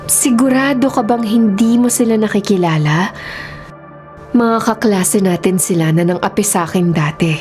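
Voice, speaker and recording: A woman's voice: medium pitch, normal speed, natural voice. A woman playing a vengeful, wounded schoolgirl painter in a radio horror drama. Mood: coolly sinister. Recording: loud dramatic music underneath.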